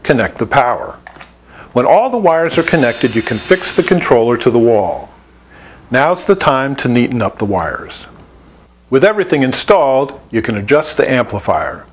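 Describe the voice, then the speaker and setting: A man with a medium-pitched voice narrates a scripted tutorial. His intonation moves up and down in a lively way as he lays out plain, step-by-step instructions in the role of an instructor. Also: normal speed. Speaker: instructor presenting an installation tutorial.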